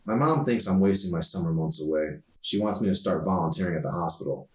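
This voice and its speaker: A man's voice: low voice, lively intonation, quick pace. Chatty sardonic teenage girl acted by a man, echoey. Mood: exasperated. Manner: slangy, emphatic, complaining. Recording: roomy echo, distant microphone.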